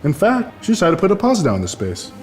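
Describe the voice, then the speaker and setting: A man's voice: sits high, huge pitch swings, rapid-fire, soft background music. A man acting a friendly, reasonable landlord in a scripted online morality drama. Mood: firm.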